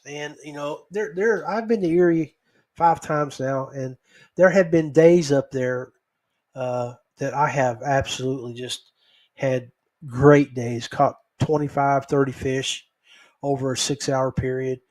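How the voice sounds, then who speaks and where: An American man hosting a podcast solo, rambling casually in a halting, folksy way. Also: mid-range voice, darkish tone. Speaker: podcast host.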